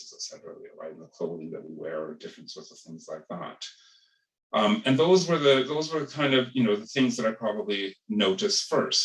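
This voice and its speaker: Academic man on a webinar panel, deep voice, halting restarts, roomy distant call audio. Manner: thoughtful, hedging, many restarts and repeated words. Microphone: video call.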